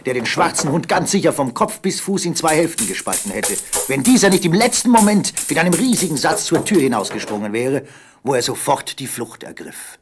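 A man with a husky voice giving scripted narration for an audio drama. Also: a little high, sing-song, fairly quick, soft background music.

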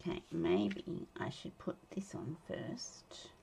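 An Australian woman with a tired, croaky voice chatting casually and laughing as she demonstrates card making at her craft desk. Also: low-pitched, sing-song, slow pace, dark tone, in a whisper. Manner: chatty, laughs often, mutters to herself while working. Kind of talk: casual crafting tutorial chat. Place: indoor craft desk, overhead camera.

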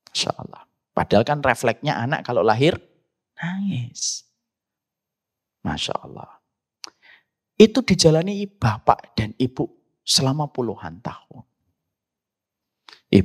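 A man's voice: medium pitch, breathy edge. Indonesian Islamic preacher, man with Javanese accent. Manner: conversational, addresses listeners directly with frequent asides. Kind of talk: sermon with personal storytelling.

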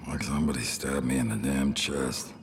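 An actor playing a gruff, surly corporate agent in a video game, with a gravelly voice. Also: male voice, extremely low pitch, steady tone, normal speed. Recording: long reverb, faint noise.

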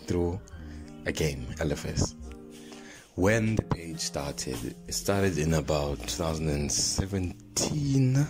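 A man's voice: low-pitched, expressive, slow pace. A young man from Zimbabwe with a Zimbabwean accent, chatting casually to camera in an informal storytelling way, like a vlogger speaking close into a phone. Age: young adult. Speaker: vlogger, drift fan page host. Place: small plain indoor room. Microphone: phone held close to the mouth. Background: background music.

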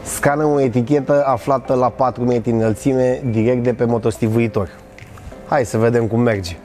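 A man's voice: medium pitch, steady tone, mellow, faint music behind. A man in his thirties presenting and demonstrating a product in a large warehouse, upbeat and scripted. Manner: upbeat, demonstrating while walking and working.

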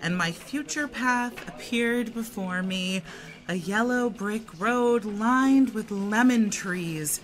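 An actress playing an earnest, self-assured former model turned wellness guru in an audio drama. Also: female voice, fairly high pitch, slow pace, rough recording. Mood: confessional, earnest. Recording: music playing behind the dialogue.

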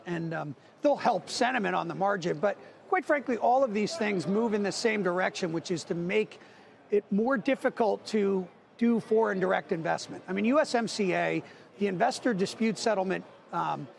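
A middle-aged man speaking as a market strategist on a TV news set, arguing points quickly with many figures. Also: high-pitched, gravelly. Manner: dense with figures, argumentative. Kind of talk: financial news analysis. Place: TV studio on a trading floor.

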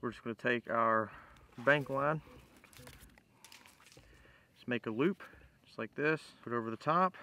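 A man with a bright, forward voice at an ordinary middle pitch talks through a hands-on tutorial. He is informal and plain-spoken, explaining each step as he works outdoors. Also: measured pace, long pauses, slight background noise. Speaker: bushcraft demonstrator, host. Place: outdoors in woodland.

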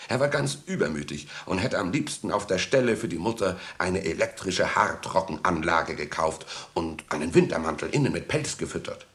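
A man narrating a children's audio drama as an expressive storyteller speaking to young listeners. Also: low voice, moderate pace, hardly any pauses.

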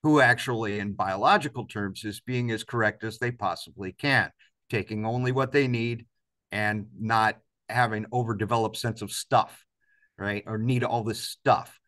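A man's voice: on the low side, moderate pace, a bit bright. A man in his fifties being interviewed on a video call, talking reflectively and emphatically with rhetorical questions. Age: 50s. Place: indoors, large office-like room, on a video call.

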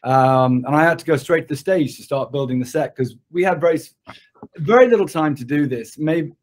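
A man's voice: a little high, a little fast. A British man in his sixties, raised in Zimbabwe, talking conversationally as an interview guest on a video call from an office. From born in England, grew up in Zimbabwe. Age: 60s. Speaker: interview guest, production designer. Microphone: computer mic at desk distance on a video call.

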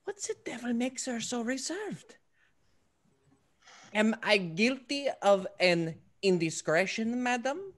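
A woman's voice: on the high side, sing-song, slow. Vain, affected dandy, played by a woman. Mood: puzzled, wooing. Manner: affected, florid. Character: vain, affected male fop, foolish dandy. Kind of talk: classical stage comedy acting. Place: indoors at home, on a video call.

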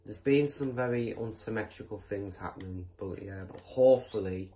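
A male host chatting casually while building in a game, rambling with lots of fillers and restarts, sometimes bursting into excited exclamations. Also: lowish voice, moderate pace, a little hiss or hum. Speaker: gameplay channel host.

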